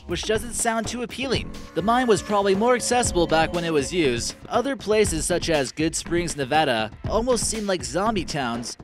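A man reading a scripted countdown narration in a casual tone with light wry asides. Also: on the high side. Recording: voice-over with background music.

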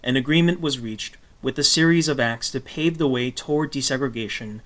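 A man reading scripted documentary narration in a measured, phrase-by-phrase manner. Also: medium pitch, a bit bright. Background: guitar music.

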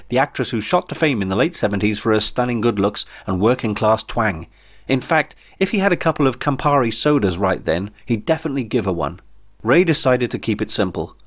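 A man reading a comic novel as scripted audiobook narration, in a wry storytelling manner. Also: medium pitch. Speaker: author reading own novel.

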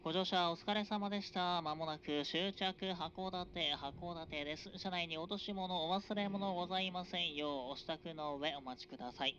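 A train conductor making formal, measured announcements over an express train's public address system. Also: male voice, high voice, bright, forceful tone. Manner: formal, measured announcer cadence with drawn-out phrases. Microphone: train PA system recorded from a passenger seat. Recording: dull, noisy onboard PA recording.

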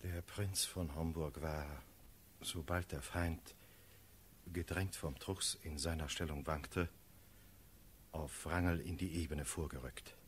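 Very deep, grave officer. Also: male voice, steady tone, slight background noise. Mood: solemn, grave. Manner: solemn, measured declamation. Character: grave cavalry officer reporting a lord's fall in battle. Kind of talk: classical German radio play verse acting.

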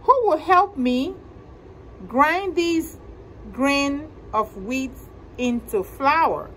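Storybook mother hen, read by a woman: high, slow, resolute and industrious, with noise behind. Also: lively intonation, a bit bright, exaggerated voice, rough recording. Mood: hopeful asking.

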